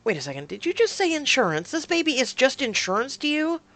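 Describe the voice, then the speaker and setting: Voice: mid-range voice, expressive, normal speed, vocal fry, a bit bright, voice pushed up. A narrator voicing a furious, hurt young woman, indignant and emphatic, in an audio drama. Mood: accusing. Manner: indignant, emphatic, emotionally volatile.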